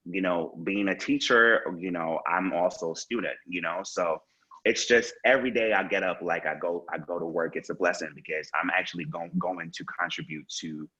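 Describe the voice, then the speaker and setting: A man's voice: deep voice, normal speed, pushed and bright. A man who teaches the arts, talking earnestly as a podcast guest and filling his speech with "you know.". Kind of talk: casual conversation. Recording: dull lo-fi sound.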